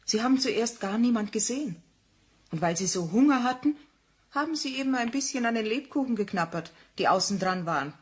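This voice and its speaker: An actress playing a mother telling a cautionary tale to her child in a German children's radio play, with husky voice and simple, didactic phrasing. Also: on the high side, expressive, a little fast. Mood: storytelling, instructive.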